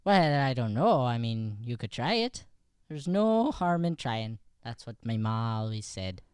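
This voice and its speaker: Irish lad, played by a man, eager and halting, clean lively voice, dry studio sound, radio-play comedy. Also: mid-range voice, clean tone, no echo. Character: Finnegan, curious eager Irish lad, new in town. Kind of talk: improvised old-time radio play acting.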